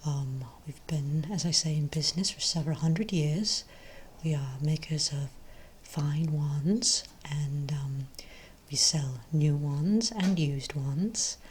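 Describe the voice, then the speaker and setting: A woman speaking in a soft, breathy near-whisper, playing a friendly magic shop owner in a gentle, rambling ASMR role play. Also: low voice, takes it slowly, slight background noise.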